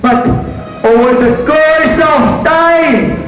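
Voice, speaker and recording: A teenage boy playing a murderous, self-righteous judge in a dramatic, deliberate stage monologue with repeated phrases. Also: extremely high pitch, fairly bright tone, full character voice. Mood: fevered, menacing. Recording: echoey hall, far mic, faint music.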